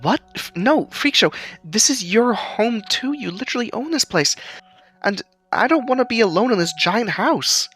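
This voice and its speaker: A man voicing a prickly, sarcastic but soft-hearted young woman in a narrated audio story, earnest under the exasperation. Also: extremely high pitch, animated, normal speed, slightly rough, soft background music, pitched above the performer's own voice, full character voice. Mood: alarmed, insistent.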